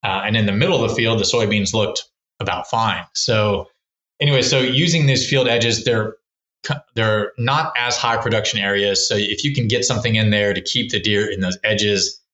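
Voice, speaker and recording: Low, bright-voiced man in a roomy echo. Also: moderate pace, dull audio. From Texas. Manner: rambling, many restarts and fillers. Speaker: wildlife researcher, podcast guest. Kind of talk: casual explanatory interview talk.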